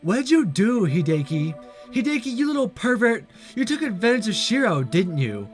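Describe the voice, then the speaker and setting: A man's voice: high-pitched, big pitch swings, moderate pace, soft background music, pitched above the performer's own voice. A man voicing a sarcastic, teasing teenage girl in a visual novel, with a brash manner. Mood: accusing, teasing.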